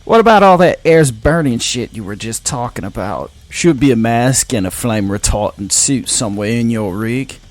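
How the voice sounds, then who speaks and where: A man's voice: medium pitch, lively intonation, normal speed, few pauses, no room sound, close to an everyday voice. A man voicing a headstrong, defiant woman mech pilot in an audio story. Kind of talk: audio story character dialogue.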